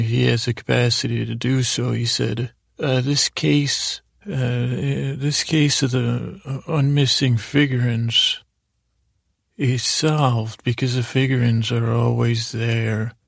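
Man, very gravelly fry, flat even intonation, meandering bedtime storyteller, halting, mild and friendly, absurd digressions. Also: medium pitch, dry recording, studio quality. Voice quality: gravelly, creaky. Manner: meandering, halting, repeats and trails off. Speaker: podcast host telling bedtime stories. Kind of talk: rambling improvised bedtime storytelling.

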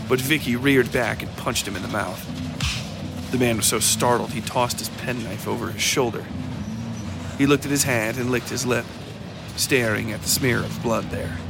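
A man narrating a dark fiction podcast in a scripted, dramatic storytelling style. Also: medium pitch, vocal fry. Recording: loud music behind the voice.

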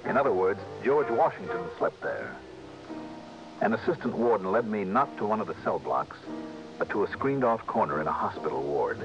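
Low-voiced American radio detective. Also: male voice, big pitch swings, normal speed. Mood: dry, narrating. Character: hard-boiled freelance insurance investigator, steady and decent. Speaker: lead actor and first-person narrator. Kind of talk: 1960s American radio detective drama acting. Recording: dull vintage radio recording, music behind.

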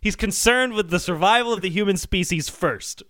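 A man's voice: high-pitched, moderate pace, a bit bright, no echo, studio quality. A man co-hosting a comedy podcast in casual banter, playfully over-analysing as he talks.

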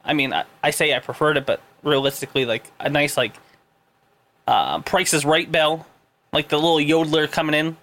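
A man co-hosting a casual podcast, with a high voice, laughing often and swearing freely. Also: fairly even intonation, brisk, fairly bright tone. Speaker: podcast co-host.

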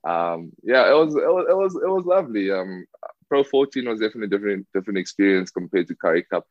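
A man's voice: on the low side, big pitch swings, quick pace, dry recording. A young man from South Africa, a rugby player answering interview questions casually, with many fillers and restarts. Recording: dull lo-fi dry sound.

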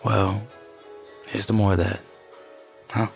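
A man playing an easygoing, supportive husband in an audio drama, relaxed, reassuring and wry. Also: fairly low pitch, slow, vocal fry, soft background music. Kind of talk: naturalistic audio drama acting.

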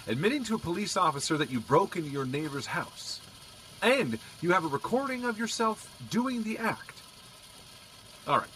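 A man's voice: high-pitched, moderate pace, a little hiss or hum. An actor playing a wry male police officer who teases and jokes dryly. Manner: dry joking, lively. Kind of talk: audio drama acting.

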